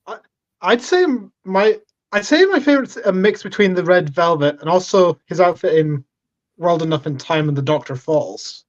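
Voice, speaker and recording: A Scottish man chatting on a podcast, enthusiastic and chatty, quick to laugh. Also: high-pitched, lively intonation. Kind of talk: casual podcast chat. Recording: dull lo-fi mic.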